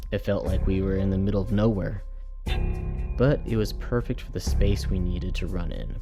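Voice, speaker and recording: A man reads a scripted first-person horror story in a measured, dramatic storytelling manner. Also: on the low side. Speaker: storyteller, narrator. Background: music.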